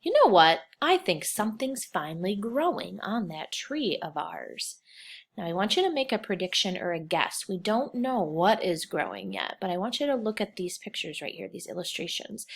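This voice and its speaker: A woman with a mid-range voice reads a picture book to young children. She speaks as a kindergarten teacher, in simple, expressive phrases. She breaks up the story with questions and prompts for her listeners. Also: medium pitch, breathy edge. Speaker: kindergarten teacher reading aloud.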